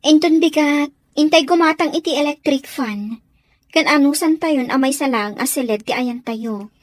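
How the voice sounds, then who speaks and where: A woman's voice: sits high, moderate pace, no echo, close to an everyday voice. A woman with an Ilocano accent acting a devoted young woman in a radio drama. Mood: reassuring.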